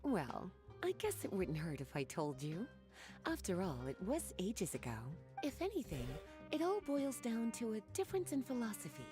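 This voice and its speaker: A woman's voice: a little high, wildly swooping pitch, moderate pace, over music. An actress playing a flirtatious, worldly woman librarian in a video game, speaking in a coy, leisurely and teasing manner. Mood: relaxed, coy. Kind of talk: video game dialogue acting.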